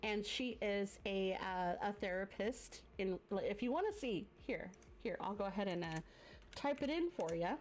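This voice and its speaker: A chatty woman in her thirties hosting a casual live stream. She talks loosely, full of "you know" and "um", and breaks into laughter easily. Her voice sits at a middle pitch. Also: lively intonation, normal speed. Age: 30s. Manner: chatty, with frequent fillers and laughter. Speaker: streamer host. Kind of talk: casual chat. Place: home streaming setup.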